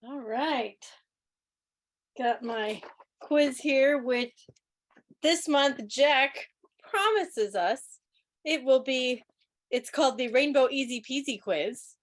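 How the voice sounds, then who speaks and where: Slow-paced, high-voiced woman quiz host. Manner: teacherly, playful, deliberate. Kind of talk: club quiz hosting, reading questions aloud. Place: indoors, on a video call.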